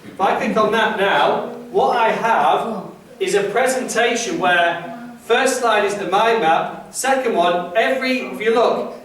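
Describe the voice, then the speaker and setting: A man's voice: high-pitched, unhurried, a bit bright. A man leading a teacher training session, explaining step by step how an app turns a mind map into a presentation. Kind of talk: classroom demonstration. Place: school classroom. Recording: echoey room, mic far away.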